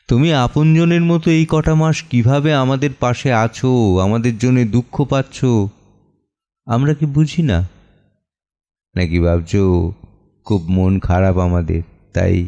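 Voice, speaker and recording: A man playing a weary, wounded middle-aged father in a dramatic audio play monologue, with deliberate, theatrical delivery and heavy pauses. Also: medium pitch, lively intonation, mellow, muffled recording. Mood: gentle, subdued. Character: weary, wounded father of an assaulted daughter, brooding.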